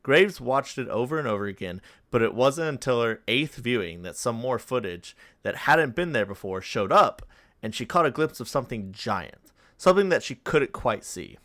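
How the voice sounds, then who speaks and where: A man's voice: mid-range voice, animated, normal speed, fairly bright tone, no room sound, studio quality. A man co-hosting a podcast, explaining and narrating fictional lore. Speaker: podcast co-host.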